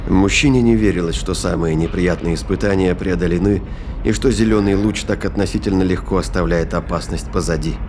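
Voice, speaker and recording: Low-voiced male audio drama narrator. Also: noisy background. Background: prominent music and sound effects.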